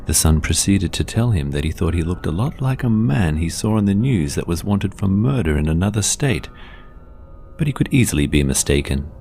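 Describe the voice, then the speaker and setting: A man narrates a scripted horror story in a measured, deliberate, dramatic storytelling manner. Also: deep voice, darkish tone, a little hiss or hum.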